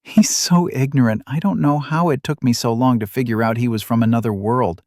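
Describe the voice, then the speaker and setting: A man's voice: mid-range voice, a little fast, a little mellow, no room sound, studio quality, pitched above the performer's own voice, natural voice. A man voicing a confident, teasing young woman adventurer with a sarcastic, bantering manner in an audiobook. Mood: amused, confident.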